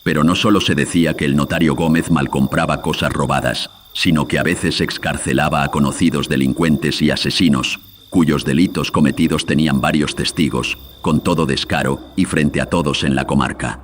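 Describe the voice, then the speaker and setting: A male storyteller with an extremely low, filter-processed voice. He narrates horror legends solemnly from a script, with faint ambient noise behind him. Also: fairly even intonation, normal speed. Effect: processed with audio filters.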